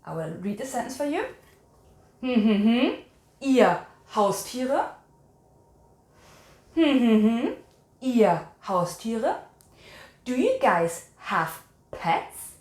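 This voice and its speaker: A woman's voice: a little high, very animated, slow pace, long pauses, a little mellow, reverberant. A woman teaching a language quiz to viewers, instructive, repeating and carefully enunciating her example sentences. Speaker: language teacher and channel host.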